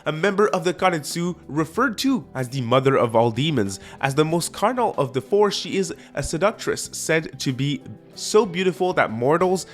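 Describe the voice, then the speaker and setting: A young man with a French accent whose first language is French, hosting casual gaming news commentary with excitement and stumbling over hard names. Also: a little high, moderate pace, faint music behind.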